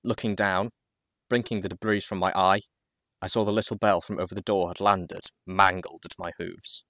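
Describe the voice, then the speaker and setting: A man reading a first-person story aloud as a dramatic audiobook narrator, with measured phrasing and deliberate pauses. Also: low-pitched, fairly even intonation, fairly bright tone, dry recording, clean studio sound.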